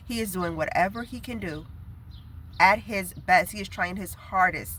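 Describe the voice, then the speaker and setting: A young woman speaks in a bright, nasal voice, stuffed up from a head cold. She delivers a prophetic message in a casual, earnest way, rambling with fillers as she goes. Faint background noise sits under her voice. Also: medium pitch, moderate pace. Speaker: prophetic video preacher.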